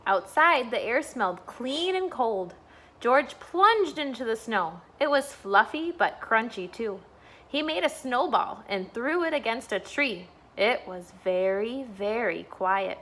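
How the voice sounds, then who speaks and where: A woman's voice: fairly high pitch, expressive, slow, a bit bright. A woman, a school staff member, reading a bedtime storybook aloud for children.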